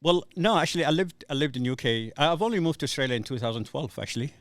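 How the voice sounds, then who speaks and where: Earnest Somali-born man, smooth voice. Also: a little high, animated, racing, no room sound. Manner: earnest, hesitant storytelling with many fillers. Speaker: guest. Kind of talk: casual podcast interview.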